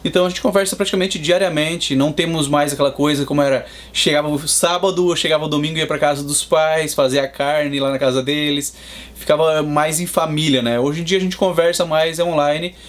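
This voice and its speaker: A Brazilian man in his thirties, a father, answering interview questions in a measured, explanatory way. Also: on the high side, fairly even intonation. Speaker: guest, Brazilian father in Boston.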